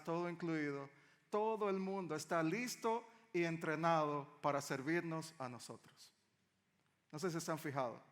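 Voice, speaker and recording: A man's voice: on the high side, a bit bright. A man from the Dominican Republic with a Dominican accent, preaching a sermon as a pastor in a conversational way, often posing questions to the congregation. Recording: echoey hall with long reverb.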